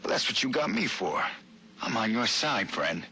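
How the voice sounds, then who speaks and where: An actor playing a crooked, coaxing street cop in a video game, talking in a slick, casual, streetwise way. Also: male voice, lowish voice, big pitch swings, normal speed, sharp tone, husky, heavily acted. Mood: slick, reassuring. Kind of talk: video game voice acting, audio log.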